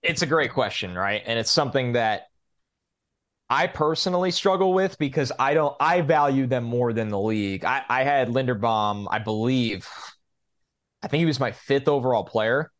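A man's voice: mid-range voice, animated, moderate pace, a bit bright. A man co-hosting a sports podcast, giving his opinions on football in a casual, conversational way.

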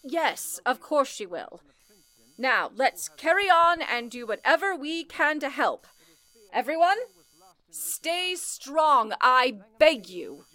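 A woman's voice: sits high, very animated, pushed and bright, no room sound, full character voice. A woman playing a composed, commanding telephone exchange supervisor, speaking firmly and formally as in an old-time radio drama. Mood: urgent, encouraging. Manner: firm, deliberate, formal.

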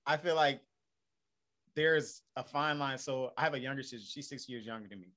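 A man's voice: medium pitch, moderate pace. Laid-back man of thirty. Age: 30s. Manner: relaxed, laid-back slang. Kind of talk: casual chat.

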